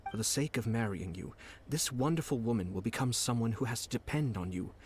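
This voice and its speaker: An actor playing a calm, shrewd noble commissioner in a video game, speaking in a measured, formal, persuasive way. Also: male voice, mid-range voice, slight background noise, natural voice. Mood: calm, frank. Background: game music.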